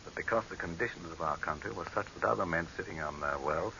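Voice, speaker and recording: An actor playing a proud, scornful dictator facing death in a 1930s radio horror drama, with a commanding, oratorical delivery on a hissy old recording. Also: male voice, sits low, fast, gravelly, pushed and bright, lo-fi sound, exaggerated voice. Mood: forceful, contemptuous.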